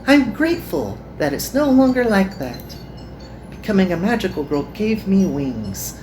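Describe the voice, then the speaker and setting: A woman voicing a kind, earnest young magical girl in a visual novel, with gentle and formal phrasing. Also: medium pitch, big pitch swings, measured pace, noisy background. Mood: hopeful.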